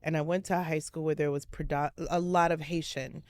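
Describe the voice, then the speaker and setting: Animated woman telling stories. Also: low voice, brisk, no room sound. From Miami, USA. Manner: animated storyteller, lots of likes and restarts. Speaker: interview guest. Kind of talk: casual conversational interview.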